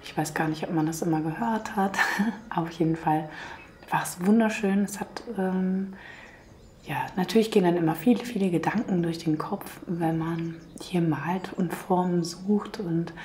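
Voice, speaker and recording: A hoarse woman hosting a painting channel, talking gently and half-whispering in a calm, chatty way. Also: fairly low pitch, normal speed, slight background noise. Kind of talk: calm chatty vlog talk.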